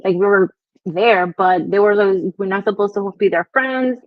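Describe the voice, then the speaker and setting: A woman's voice: medium pitch, normal speed, dry recording. Young brooklyn woman, new york accent w a bit of spanish, chatty, lots of likes and ums, dull dry call audio. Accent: New York with Spanish touch. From Brooklyn, New York, Dominican descent. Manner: chatty, laughs easily, many fillers. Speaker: guest. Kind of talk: casual storytelling interview.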